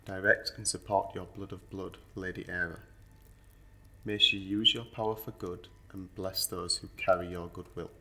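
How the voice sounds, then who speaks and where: A man's voice: low voice, level tone, normal speed, sharp tone, heavily acted. A man playing a solemn officiant of a fantasy noble court in an audio roleplay, speaking in a stately, formal, declamatory manner. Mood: solemn, reverent.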